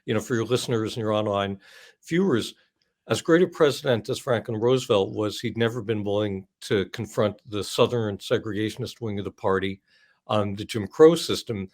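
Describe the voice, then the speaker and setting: A man's voice: lowish voice, moderate pace, a little mellow. An older American man speaking as an author in an interview, explaining things emphatically in long, well-built sentences.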